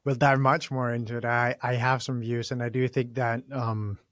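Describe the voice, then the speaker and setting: A man hosting a podcast, reading a prepared introduction and then asking his guest questions in a deliberate, articulate way. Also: medium pitch, flat delivery, fairly bright tone, dry recording, clean studio sound. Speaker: podcast host.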